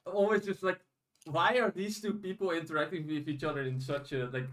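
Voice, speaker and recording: A man's voice: on the high side, steady tone, a little fast, fairly bright tone. A man hosting a podcast in a casual, informal and blunt chatting style. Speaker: podcast host.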